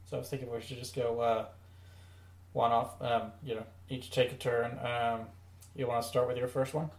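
A man's voice: mid-range voice, flat delivery, moderate pace. A man hosting a podcast in a casual, conversational discussion style. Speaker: podcast host.

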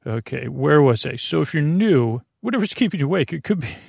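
A man hosting a bedtime podcast in a creaky voice, rambling gently and soothingly, often stammering and wandering off into tangents. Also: fairly high pitch, lively intonation, a little fast, no echo, clean studio sound. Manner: meandering, hesitant, stammering with tangents. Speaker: host of a bedtime sleep podcast.

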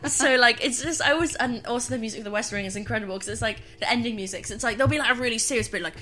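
A woman's voice: on the high side, racing, bright, forceful tone. A woman chatting casually and giggling, sometimes acting out silly voices. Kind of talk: casual chat.

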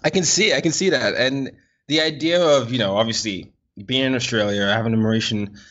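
A man's voice: mid-range voice, a little fast, a bit bright, lo-fi sound. A man hosting a podcast, effusive and upbeat, often repeating himself as he talks to listeners and his guest. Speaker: podcast host. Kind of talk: podcast intro and interview.

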